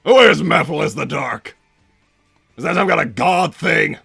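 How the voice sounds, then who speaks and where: Bombastic cartoon villain scientist, middle-aged male, forceful, gravelly delivery, exclaiming theatrically in a livestream Q&A, music underneath. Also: fairly high pitch, animated, unhurried, full character voice. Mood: blustering, bemused. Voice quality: gravelly, raspy. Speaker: livestream host in character. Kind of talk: improvised in-character livestream Q&A, reading out viewer messages.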